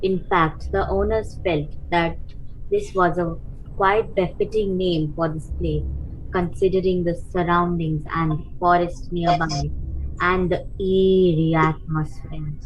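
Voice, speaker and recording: A woman playing an obliging but sinister castle servant in a radio play, speaking formally and deliberately. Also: on the low side, fairly even intonation, slight background noise, natural voice. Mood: ominously matter-of-fact. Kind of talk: amateur radio play acting. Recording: dull lo-fi sound, music behind. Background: music.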